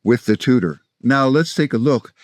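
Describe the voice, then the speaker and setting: A man in his sixties from South Florida with an American accent, presenting scripted language-learning instruction in a measured, explanatory way. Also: medium pitch, lively intonation, a little mellow, no room sound, studio quality. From South Florida, United States. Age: 60s. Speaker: language-learning video host, polyglot. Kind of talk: scripted instructional narration to camera.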